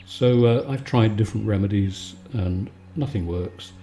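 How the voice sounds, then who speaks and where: Low, mellow man chatting calmly. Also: unhurried, a little hiss or hum. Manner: calm, soothing, conversational. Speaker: video maker and host. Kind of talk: relaxed ASMR bedtime chat.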